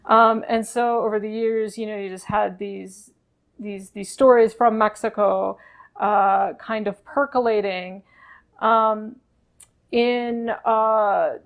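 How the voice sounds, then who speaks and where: A woman of average pitch talks through her work in an unscripted discussion. She is thoughtful and explanatory, breaking her sentences with frequent pauses as she finds the next phrase. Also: vocal fry. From Texas. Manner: thoughtful, pausing often between phrases. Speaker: project co-creator, poet and researcher.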